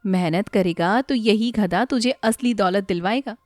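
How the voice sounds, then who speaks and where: A woman voicing a mocking village landlord who scolds and lectures in an animated moral story. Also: medium pitch, a little slow, no room sound, studio quality, natural voice. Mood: admonishing. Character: mocking, admonishing village landlord, older man in authority.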